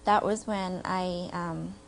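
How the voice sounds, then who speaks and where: A woman's voice: lowish voice, fairly even intonation, slow pace, gravelly, slight background noise. A woman from Vietnam whose first language is Vietnamese, speaking English in a reflective, hesitant way in an interview. She uses casual fillers often. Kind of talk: documentary interview testimony.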